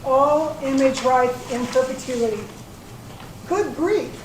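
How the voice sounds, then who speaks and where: Woman playing a high-pitched, prim questioner, echoey hall. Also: takes it slowly. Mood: alarmed. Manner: questioning, exclaiming. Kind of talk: readers theater stage acting. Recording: echoey hall from far off, faint noise.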